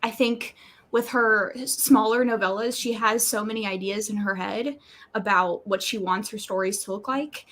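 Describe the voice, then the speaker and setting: A woman's voice: mid-range voice, moderate pace, a bit bright. Woman, casual book chat, live show co-host, mid-range voice, conversational.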